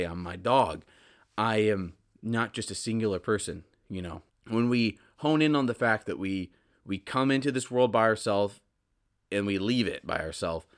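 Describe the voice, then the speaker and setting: Male podcast host, medium pitch, casual solo monologue, sincere and motivational, repeats key phrases for emphasis. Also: fairly bright tone. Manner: earnest, motivational, emphatic repetition.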